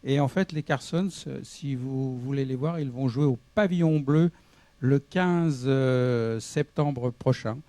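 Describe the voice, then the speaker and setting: A French man hosting a TV music show, interviewing a guest in halting English full of fillers. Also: medium pitch, dark tone, no room sound. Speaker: TV show host. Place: TV studio.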